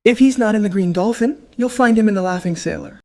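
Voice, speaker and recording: An actress playing a spiteful, gossipy middle-aged wife in a crime radio play, scornful and sarcastic. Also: female voice, medium pitch, fast, mellow, lightly acted. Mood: sardonic.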